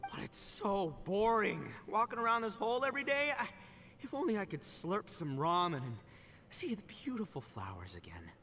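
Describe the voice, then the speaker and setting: A man's voice: sits high, very animated, moderate pace, fairly bright tone, a little hiss or hum, heavily acted. A man voicing a brash, goofy young gang boss in a video game, blustering, stammering and full of slangy exclamations. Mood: dramatic whining. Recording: long hall reverb, light music behind.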